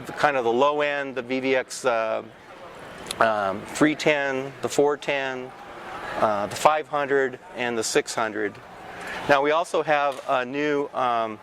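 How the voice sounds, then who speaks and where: A man in his fifties giving an informal, explanatory product demonstration as a company representative, with halting delivery and frequent false starts. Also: mid-range voice, a bit bright. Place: large indoor exhibition hall booth. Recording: clear ambient trade show floor noise.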